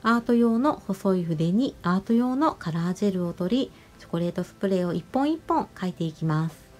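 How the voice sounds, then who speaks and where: A woman nail artist narrating a tutorial voice-over, explaining each step politely and giving gentle advice. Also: fairly low pitch, lively intonation, fairly quick. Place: voice-over over close-up salon footage. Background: music.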